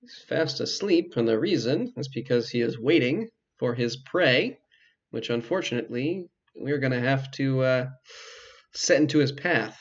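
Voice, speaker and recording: A man giving casual, explanatory let's play commentary, with hesitant pauses as he goes. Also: mid-range voice, expressive, lo-fi sound. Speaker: video game player and commentator.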